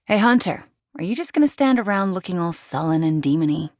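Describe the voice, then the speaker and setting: An actress playing a guilt-haunted, wry young sorceress in a video game, speaking in an intimate, earnest way. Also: female voice, on the low side, lively intonation, a little fast, a little mellow, no room sound, studio quality, close to an everyday voice. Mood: wry, teasing.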